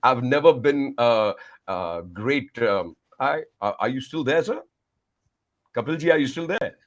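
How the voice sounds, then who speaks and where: A man with an Indian accent, Tamil by first language, telling his life story as a communication coach in an animated, effusive way with frequent laughter. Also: medium pitch, measured pace. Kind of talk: remote podcast interview.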